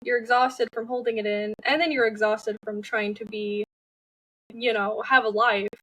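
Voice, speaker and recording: A woman's voice: on the high side, steady tone, moderate pace, a bit bright, dry recording, distant mic, rough recording. A young woman speaking as an interview guest, candid and open with frequent fillers. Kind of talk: personal interview talk.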